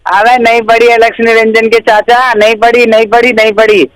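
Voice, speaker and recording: An irritated man argues on a prank phone call. His voice is strikingly high, and he answers bluntly and combatively. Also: level tone, normal speed, fairly bright tone, clear, resonant tone, no echo.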